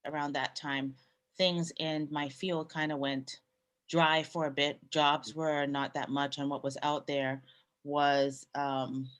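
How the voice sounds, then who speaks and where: A woman who grew up in Canada, a nurse and business owner, telling her story in a relaxed way with pauses and fillers. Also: low-pitched. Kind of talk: casual interview storytelling.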